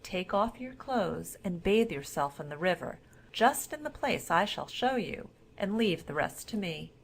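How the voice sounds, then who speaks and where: A woman's voice: mid-range voice, very animated, a little slow, creaky, slightly rough, full character voice. A woman voicing a cunning, confident cat from a storybook, with a courtly, persuasive manner. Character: cunning, confident talking cat, servant to his master.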